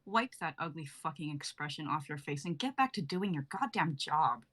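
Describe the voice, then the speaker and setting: A woman's voice: sits low, expressive, moderate pace. Woman reading a foul-mouthed diva singer. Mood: angry. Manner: swears a lot, swings from shouting to whispering. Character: self-absorbed young woman singer, volatile. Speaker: actor in a live script reading. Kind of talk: amateur dramatic reading.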